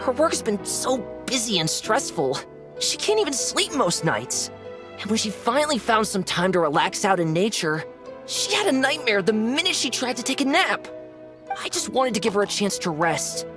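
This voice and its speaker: A woman's voice: fairly high pitch, big pitch swings, normal speed, over music. A woman voicing a devoted, hot-tempered young male imaginary-friend creature in a video game, earnest and emotive. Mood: sheepish recounting. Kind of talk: animated video game dialogue.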